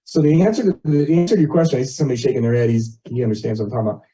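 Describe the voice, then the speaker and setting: Man, very dull dark tone, mid pitch, conversational, explanatory community presentation. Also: expressive, rapid-fire. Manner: conversational, explains with everyday examples. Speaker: resident presenting an internet proposal. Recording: muffled, dull meeting audio.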